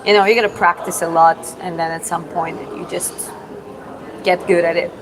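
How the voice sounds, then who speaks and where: A woman from Georgia, hosting a casual livestream Q&A and answering chat in an unhurried, thoughtful way with many fillers and restarts. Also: fairly low pitch, fairly even intonation, a crowd in the background, poor audio. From Georgia (country). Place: public spot in Da Nang with other people around.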